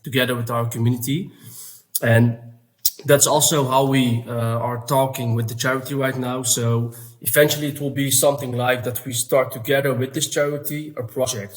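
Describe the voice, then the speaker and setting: A young man from Palestine, raised in the Netherlands, who answers interview questions in a halting way, with many fillers and pauses as he searches for words. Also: mid-range voice, rough recording. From Palestinian, born in Syria, raised in the Netherlands. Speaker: NFT project founder.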